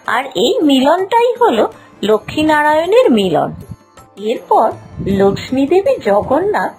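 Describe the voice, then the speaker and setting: Animated high-pitched woman storyteller. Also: poor audio. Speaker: narrator. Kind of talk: scripted children's moral story narration.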